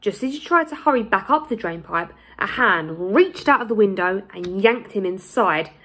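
A young woman reading a children's storybook aloud in an expressive, deliberate way with dramatic emphasis. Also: medium pitch, husky. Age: young adult. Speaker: primary school teacher reading aloud. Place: small indoor room, plain wall.

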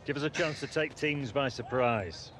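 A man playing a gruff, teasing middle-aged football coach in a video game. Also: mid-range voice, moderate pace, background noise, natural voice. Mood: firm, instructive. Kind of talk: video game cutscene dialogue.

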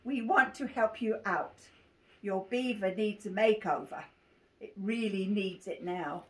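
A woman's voice: medium pitch, unhurried, fairly bright tone. An 80-year-old woman with an English accent, reading a rhyming picture book aloud and often giggling as she goes. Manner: giggly, often breaking into laughter while reading. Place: small cluttered living room. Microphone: phone camera a few feet away. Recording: faint noise, clicks, home phone video.

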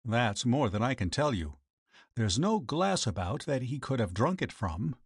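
A man's voice: mid-range voice, big pitch swings, unhurried, breathy edge, no echo, clean studio sound, exaggerated voice. A man voicing a young doctor in an audiobook story, frank, puzzled and emphatic. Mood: resentful, baffled. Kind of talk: audiobook character voice.

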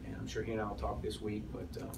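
A man's voice: fairly low pitch, completely flat delivery, quick pace, vocal fry. A man in his forties, a head football coach, answering questions at a postgame press conference with many restarts and fillers. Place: press room, in front of a sponsor backdrop. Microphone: cluster of press mics on a table, heard from off. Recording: distant voice, noisy room.

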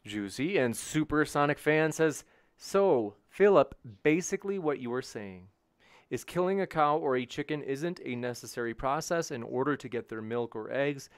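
A man moderating an online debate, briskly reading audience questions at a studio microphone. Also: medium pitch, animated, smooth, dry recording. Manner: brisk, businesslike.

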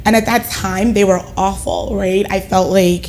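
She is a woman in her thirties with an American accent, talking as a guest on a talk-show couch. Her pitch is mid-range, and she tells stories in an animated way, checking in with "right?" as she goes. A lapel mic records her in a studio set, with a faint hiss underneath. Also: moderate pace. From Ghana, raised in the United States. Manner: animated storytelling, often adds "right?". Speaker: guest, education reformer. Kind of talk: casual talk-show conversation. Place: living-room studio set, indoors.

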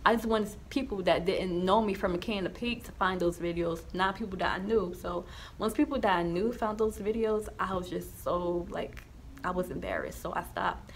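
Young woman with a slight lisp, gravelly. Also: on the low side, moderate pace, slight background noise. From New Jersey, USA. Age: young adult. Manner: rambling, with many ums and restarts. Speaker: YouTuber sharing her testimony. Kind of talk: casual vlog talk to camera.

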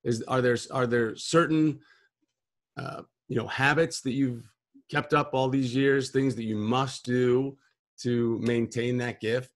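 A man's voice: medium pitch, fairly even intonation, measured pace. A man in his forties hosting an interview over a video call from home, asking questions in a friendly, curious way. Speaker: show host. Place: home room with patterned wall. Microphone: video call webcam.

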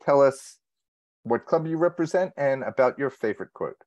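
A man's voice: medium pitch, animated, moderate pace, darkish tone, lo-fi sound. Male contest host moderating politely. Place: indoors, on a video call.

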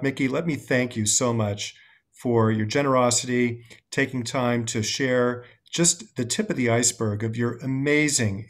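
Formal older man hosting a video interview. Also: mid-range voice. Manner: measured, formal, effusive in thanks. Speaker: host. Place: home room indoors. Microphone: video call.

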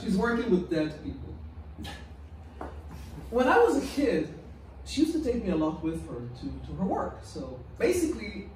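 A woman from Israel with an Israeli accent and a low, deep voice, performing a stand-up set with deadpan, self-mocking delivery on a small club stage into a handheld mic. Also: moderate pace, pauses often, a little hiss or hum. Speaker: stand-up comedian. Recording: echoey club, heard from a distance.

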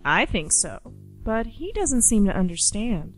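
An actress playing a smug, menacing girl who teases deliberately in a radio play. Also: medium pitch, sing-song, faint music behind. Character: smug, menacing girl relishing bad news.

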